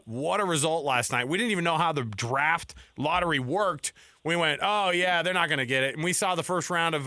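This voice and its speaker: An American man hosting casual sports talk radio, chatting informally and telling things in colloquial, animated phrases. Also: fairly high pitch, clear, resonant tone, no echo, studio quality. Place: radio studio.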